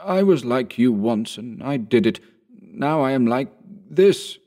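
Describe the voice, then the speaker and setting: A man puts on a broken-down old drunkard who still speaks like a gentleman, mild and cultivated. The voice sits well above the reader's own low tone. It sounds set a little back from the listener. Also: expressive, a little slow, natural voice. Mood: pleading. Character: old male barroom derelict, gentle and cultivated.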